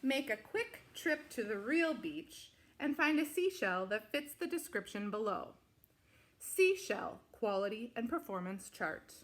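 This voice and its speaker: A woman reading a children's picture book aloud in an expressive, deliberate way with dramatic emphasis. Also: a little high. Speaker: storybook reader.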